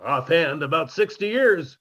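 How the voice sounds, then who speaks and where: Man playing a high, theatrical wisecracking musician. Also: animated, unhurried, fairly bright tone, highs cut off. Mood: mocking. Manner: theatrical, dry punchlines. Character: shabby, wisecracking older musician neighbor, comically self-pitying. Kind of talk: 1950s radio comedy acting.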